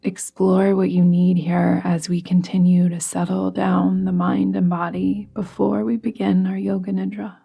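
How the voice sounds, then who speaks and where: A woman guiding a yoga nidra meditation in a soft, soothing, gently instructing manner, with breathy phrase endings. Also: fairly low pitch, flat delivery, measured pace, few pauses. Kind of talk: guided meditation. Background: music.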